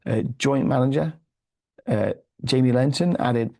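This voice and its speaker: Guy with a cold, croaky dark voice, uhs everywhere going through footy results. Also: medium pitch, a little slow. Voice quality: hoarse, gravelly. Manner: many "uh" fillers and restarts. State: losing his voice from a cold. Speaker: football league reviewer and commentator. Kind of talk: weekly football review, read from notes.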